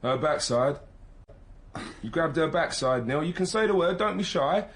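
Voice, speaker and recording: An actor playing a mocking police detective in a radio drama, goading a suspect with sarcasm and insinuation. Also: male voice, on the high side, animated, moderate pace. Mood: accusing. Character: needling, mocking male police detective, second interrogator. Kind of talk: naturalistic radio drama acting.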